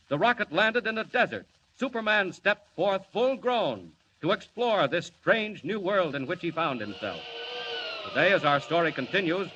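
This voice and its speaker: A man's voice: on the high side, sharp tone, highs cut off. A male announcer narrating a 1940s radio serial with grandiose, dramatic declamation. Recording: vintage 1940s radio, faint noise.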